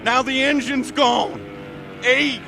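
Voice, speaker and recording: A doomed bomber pilot. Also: male voice, very high-pitched, fairly even intonation, takes it slowly, bright, forceful tone, soft background music, heavily acted. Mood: tense. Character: male bomber pilot diving to his death. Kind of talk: 1930s radio drama acting. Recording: noisy old 1930s radio recording.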